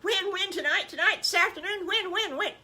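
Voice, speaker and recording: A woman voicing excited, impatient little piglet boys in a children's story, eagerly repeating words. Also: extremely high pitch, fairly quick, pitched above the performer's own voice, full character voice.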